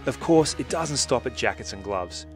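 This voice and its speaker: A man narrating a motorcycle gear factory tour in a steady, informative scripted voice-over. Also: mid-range voice, moderate pace. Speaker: motorcycle magazine presenter. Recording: voice-over with music behind.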